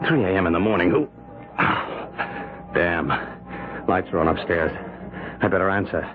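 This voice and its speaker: An actor playing a defensive husband who talks in a clipped, irritable way, in a radio drama. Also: male voice, low voice, measured pace. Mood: muttering. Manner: clipped and irritable, voice leaping when rattled. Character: edgy, defensive husband hiding a secret. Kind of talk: 1970s radio drama acting. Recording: tinny old radio broadcast.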